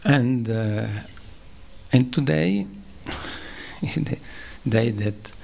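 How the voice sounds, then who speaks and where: A man from southern Switzerland whose first language is Italian, giving a spiritual lecture at a temple in English with an Italian accent. He speaks haltingly, pausing, using fillers and clearing his throat. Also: mid-range voice, lively intonation, darkish tone. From Ticino, southern Switzerland. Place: temple hall.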